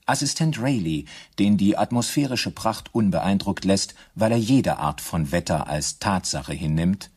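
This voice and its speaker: An actor as the measured narrator of a German crime radio play, reading scripted narration in a low, husky voice. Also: male voice, lively intonation, darkish tone, exaggerated voice.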